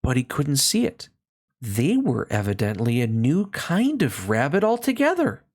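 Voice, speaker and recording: A storybook reader narrating a children's tale aloud in an expressive read-along style. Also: mid-range voice, measured pace.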